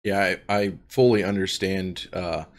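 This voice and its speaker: Low-voiced man asking rambling questions. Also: steady tone. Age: 30s. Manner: rambling, halting questions with fillers. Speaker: podcast host. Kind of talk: casual interview questions.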